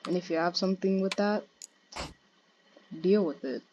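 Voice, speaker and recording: A young teen boy with a high, childlike voice, casually commenting on a strategy game in a cheeky, offhand way. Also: moderate pace, a little mellow, lo-fi sound, slight background noise. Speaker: gaming channel host.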